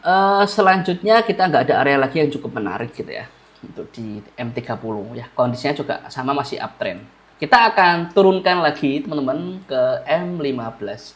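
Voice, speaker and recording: A man's voice: fairly high pitch, animated, fairly quick. A man with a stuffy, blocked nose, feeling unwell, walking viewers through a chart analysis in a casual way and often repeating key phrases. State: stuffy-nosed, under the weather. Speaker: trading analyst.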